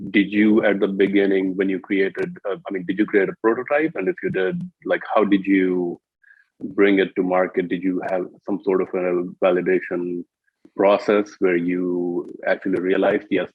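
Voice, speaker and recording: A man's voice: deep voice, slightly rough, no room sound. A man hosting a conversational interview, asking hesitant, roundabout questions over a muffled, narrow-band line. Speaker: interview host.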